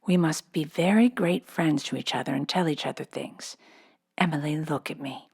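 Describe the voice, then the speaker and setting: A woman's voice: low-pitched, normal speed, creaky, breathy edge, heavily acted. A woman voicing a serious, imaginative little girl in a children's storybook, earnest and whimsical. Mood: tender, earnest. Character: imaginative, serious little girl confiding about her doll.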